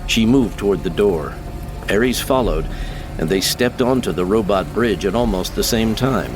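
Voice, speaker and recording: A man's voice: on the low side, expressive, moderate pace, noisy background. A man giving scripted, dramatic narration of science fiction as an audiobook narrator.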